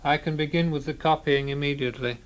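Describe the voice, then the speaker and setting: An actor playing a pale, mild young copyist who refuses tasks in flat, quiet, polite words, in a radio drama. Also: male voice, fairly high pitch, fast talker, a little hiss or hum, close to an everyday voice. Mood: calm.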